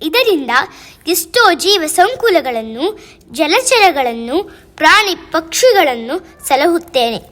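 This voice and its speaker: A woman's voice: very high-pitched, very animated, unhurried, a bit bright, heavily acted. A woman voicing a sorrowful river that weeps and laments being wronged, in declamatory speech. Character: weeping female river spirit, sorrowful and wronged. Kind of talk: amateur radio play.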